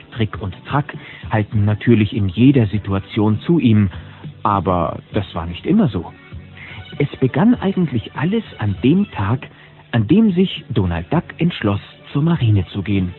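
A man narrating a children's audio drama as a chatty, knowing storyteller who poses rhetorical questions. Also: lowish voice. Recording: phone-like old cassette sound.

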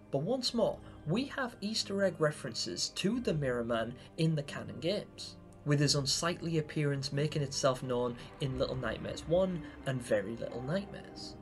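A man in his twenties hosting a scripted theory video, speaking in an expressive, theatrical storytelling way. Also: a little high. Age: 20s. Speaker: video host. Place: small room at home, indoors.

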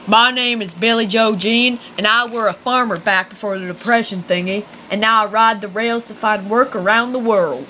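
A woman acting a bright-voiced scrappy country hick. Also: mid-range voice, normal speed, hardly any pauses, a little hiss or hum, lightly acted. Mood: brash. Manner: folksy, ungrammatical, slangy talk. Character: scrappy country hick farmer turned rail rider. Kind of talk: amateur 1930s radio skit acting.

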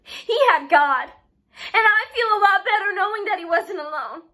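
High-voiced grieving father, acted by a young woman. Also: lively intonation, bright, forceful tone, exaggerated voice. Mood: tearful, swelling. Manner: halting, emotional, with repeated words and long pauses. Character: grieving father addressing his son's killer in court. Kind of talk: dramatic monologue.

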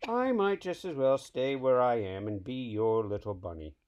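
A man's voice: mid-range voice, expressive, moderate pace, muffled recording, voice pushed up, lightly acted. A man voicing a small, restless young male bunny with a bold, declaring storybook delivery. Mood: defiant, playful.

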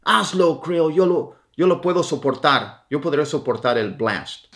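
A man, teaching English phrases with lively hand gestures in a dim indoor room. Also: on the high side, normal speed. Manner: animated, gestures with his hands. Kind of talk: language lesson, repeating phrases slowly.

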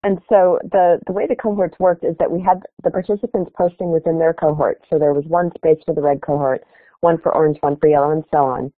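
A woman's voice: low-pitched, steady tone, fairly quick, dry recording. Woman presenting over a tinny call line. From Chicago area, United States. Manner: earnest, explanatory, with fillers. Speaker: keynote speaker. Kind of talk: webinar presentation. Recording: tinny phone-like online call audio.

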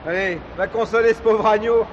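An actor playing a callous, cocky young delinquent in a radio play, slangy and insolent. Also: male voice, high-pitched, steady tone, fairly quick, natural voice. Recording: muffled, long reverb, crowd behind.

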